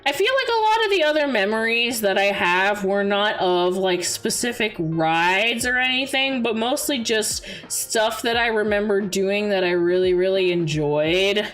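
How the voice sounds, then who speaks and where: A young adult woman chatting casually on a livestream as its host, expressive and giggly as she tells stories and answers viewers. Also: mid-range voice, unhurried, few pauses. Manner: giggly, animated storyteller with expressive exclamations. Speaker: VTuber streamer, host. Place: indoor livestream. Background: background music.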